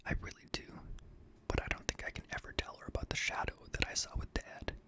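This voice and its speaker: Young man whispering ASMR horror narration. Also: fairly quick. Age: young adult. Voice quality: breathy whisper. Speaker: host and reader of scary stories. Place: small indoor home studio room. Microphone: close boom-arm studio microphone.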